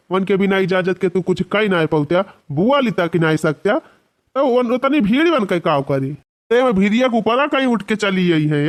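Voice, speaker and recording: Guy playing comic village buddy, awadhi accent, pretty high voice, cartoon style, chatty schemer, easygoing and rustic. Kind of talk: cartoon comedy dialogue in rustic dialect.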